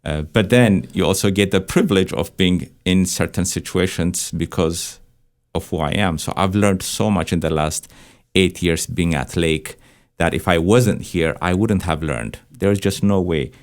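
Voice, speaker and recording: The low-voiced man at a church panel, a therapist thinking his way aloud through an answer. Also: a little slow. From Ethiopia. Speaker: church counseling director and therapist, panel guest. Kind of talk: reflective conversation.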